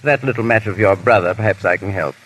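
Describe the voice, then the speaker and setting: A man's voice: lowish voice, quick pace, a bit bright, slightly rough, low-quality recording. A man playing a witty, foppish aristocrat in an urbane, ironic 1930s radio drama style. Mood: flippant, affectionate. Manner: urbane, drawling, lightly ironic. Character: foppish, witty English aristocrat husband hiding his feelings. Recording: old 1930s radio recording.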